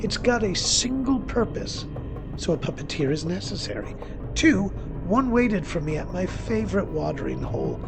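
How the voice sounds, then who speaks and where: A man's voice: sits high, very animated, moderate pace, creaky, music in the background, voice pushed up, exaggerated voice. A man voicing a shrewd, sardonic witch hunter laying out deductions in a horror thriller story. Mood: wry accusation.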